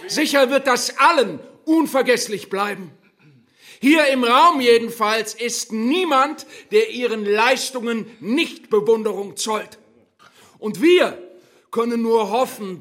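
Pompous, high-pitched Nazi village orator. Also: male voice, bright, forceful tone, exaggerated voice. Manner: declamatory, unctuous, dramatic pauses. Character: pompous rural Nazi functionary rallying villagers to enlist. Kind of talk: rousing wartime speech in a radio play.

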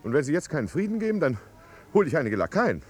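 An actor playing an oily, cowardly, flirtatious swindler of a baron, affected and formal, in a 1950s German radio drama. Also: male voice, a little high, sing-song, fast talker, darkish tone, husky. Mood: flustered indignation.

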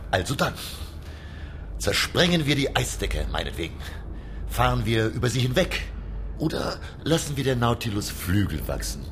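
An actor playing a learned, curious professor who narrates his voyage in a radio drama, in a measured, reflective way. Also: medium pitch, big pitch swings. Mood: eager. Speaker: narrator and character. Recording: clearly noisy radio drama recording.

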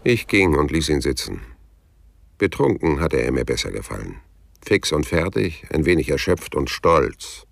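An actor playing a terse, world-weary private detective with a gravelly voice and a dry, blunt manner in a radio crime drama. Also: male voice, very deep voice, big pitch swings, normal speed, full character voice. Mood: brooding narration. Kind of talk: radio crime drama acting and first-person narration.

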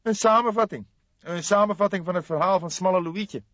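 A man's voice: sits high, fairly quick, a bit bright, no echo, muffled recording, pitched above the performer's own voice. A man voicing an eager, stern young male police detective with a fierce, clipped manner in an audiobook. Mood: brisk, keen. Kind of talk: audiobook character dialogue.

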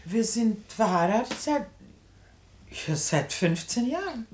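A woman's voice: fairly high pitch, animated, takes it slowly, a little mellow. An actress playing a cautious, practical middle-aged wife and mother in a radio play, restrained in manner. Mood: polite. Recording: roomy, echoing studio sound.

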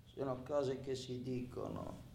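An actor playing a bitter, boastful bank robber and failed mechanic in a radio drama monologue, with a sardonic, volatile delivery. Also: male voice, mid-range voice, flat delivery, slow, vocal fry, dark tone. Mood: bitter brooding. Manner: volatile, sardonic, colloquial, swinging from muttering to outbursts. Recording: roomy, echoing sound with faint noise.

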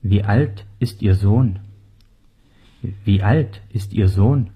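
A man reading lesson phrases at a very slow, deliberate pace, each word carefully modeled for learners. His voice is mellow and a little husky, recorded close on a studio microphone. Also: fairly low pitch. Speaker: dialogue and pronunciation reader. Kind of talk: scripted radio lesson drills.

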